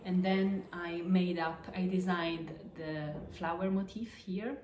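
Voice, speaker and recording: A woman with an Italian accent talks slowly through her knitting projects, her English halting and full of fillers and restarts. Her voice sits a little low, and the room around her rings with echo. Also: fairly even intonation, noisy background. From Italy. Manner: hesitant, halting, many fillers and self-corrections. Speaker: podcast host. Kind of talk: casual show-and-tell of crafts. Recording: echoey room.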